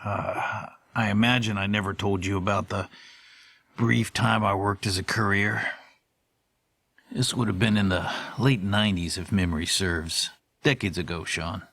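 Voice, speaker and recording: A man playing a formal, wordy middle-aged storyteller, giving a measured, ornate monologue in an audio horror drama. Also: lowish voice, steady tone, fairly bright tone, no room sound. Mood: confiding, grave. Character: formal, verbose middle-aged man recalling haunting courier days.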